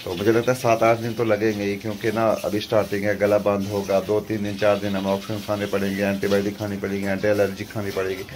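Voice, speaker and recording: A man in his thirties from Jammu, vlogging with a sore, hoarse throat, chatting on and on to a phone held at arm's length in his kitchen. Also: lowish voice, monotone, fast, hardly any pauses, darkish tone, a little hiss or hum, rough recording. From Jammu, India. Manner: chatty, repeats himself, pleads for viewers' prayers. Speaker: family vlogger. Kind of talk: casual vlog talk to camera. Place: small home kitchen and rooms, also outdoors.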